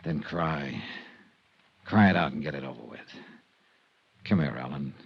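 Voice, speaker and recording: An actor playing a tough, wry insurance investigator in a 1950s radio drama, with an American accent and terse, clipped dramatic delivery. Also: male voice, extremely low pitch, a little slow, creaky, husky, heavily acted. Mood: gentle. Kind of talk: 1950s radio detective acting, first-person narration and dialogue. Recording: muffled, noisy old radio recording.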